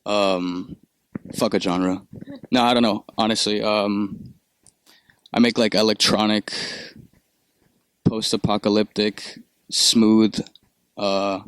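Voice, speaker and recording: A young man with a low-pitched voice, recorded close and dry. He talks in a relaxed, rambling way, full of fillers and casual swearing. He grew up in Peru. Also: slow pace, pauses often, smooth, dry recording. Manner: laid-back, rambling with many fillers and profanity. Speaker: musician guest. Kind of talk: casual interview.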